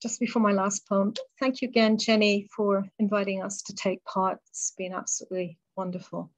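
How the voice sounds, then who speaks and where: A woman poet reading her poems aloud and talking between them, in a measured, deliberate way with pauses between phrases. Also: medium pitch, flat delivery, rough recording. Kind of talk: poetry reading.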